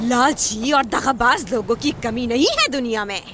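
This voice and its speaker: A woman's voice: fairly high pitch, moderate pace, loud music behind the voice, lightly acted. A woman voicing a scheming, commanding old sorceress in a cartoon, with a sly, imperious manner. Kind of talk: animated cartoon dub acting.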